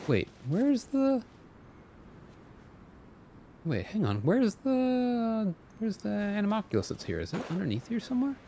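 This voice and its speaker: A man's voice: high-pitched, huge pitch swings, unhurried, pauses often, dark tone, a little hiss or hum. A man chatting casually as a game streamer, talking to himself and to his viewers.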